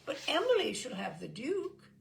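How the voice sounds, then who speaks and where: A woman voicing a cheerful young girl who eagerly plays matchmaker, with bright, emphatic exclamations. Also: high-pitched, huge pitch swings, moderate pace, gravelly, full character voice. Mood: insistent.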